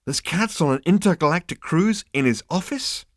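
Man doing brash, loud Zaphod, all swagger. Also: medium pitch, expressive, brisk, no echo, studio quality, lightly acted. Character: brash, swaggering hipster male alien, loud and cocky.

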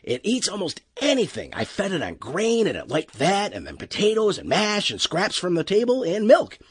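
A man plays an anxious, deferential crewman in a science fiction story. The voice is pitched up and lively, apologetic and keen to explain. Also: normal speed, breathy edge, no room sound, studio quality, exaggerated voice. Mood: eager, deferential. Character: nervous, deferential young crewman.

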